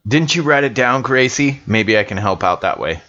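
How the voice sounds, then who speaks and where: A man's voice: medium pitch, expressive, moderate pace, pushed and bright, dull audio. A man with a laid-back, slangy way of talking, improvising as a player in a tabletop roleplaying game.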